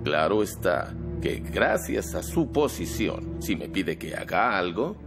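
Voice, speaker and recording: Un actor de doblaje que interpreta a un empresario poderoso de mediana edad, calculador y coercitivo, que habla con cortesía medida y presiona. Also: male voice, low-pitched, sing-song, slow pace, vocal fry, music in the background, heavily acted. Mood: calculating, firm. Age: middle-aged. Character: middle-aged powerful businessman, smooth-talking, calculating and coercive. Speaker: dubbing actor in a TV drama. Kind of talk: dubbed television drama dialogue.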